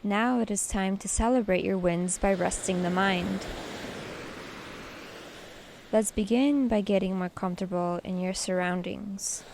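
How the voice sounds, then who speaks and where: A woman leading a guided meditation in a soothing, measured way, leaving pauses between short instructions. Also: mid-range voice, darkish tone, a little hiss or hum.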